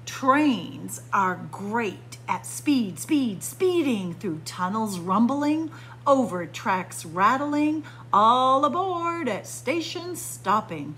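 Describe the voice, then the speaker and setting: Female picture-book reader for small kids: very unhurried, swooping sing-song delivery, stressed key words, noisy indoor recording. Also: a little high, big pitch swings, a bit bright. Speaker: children's librarian and storyteller. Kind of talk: picture book read-aloud for young children. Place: library room with bookshelves, indoors.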